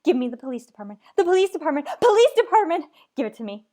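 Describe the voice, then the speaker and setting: A woman's voice: high voice, very animated, racing, mellow. A woman playing a nervous, imperious invalid in an old-time radio thriller, agitated and pleading. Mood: desperate whispering pleas. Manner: demanding, agitated, breathless pleading.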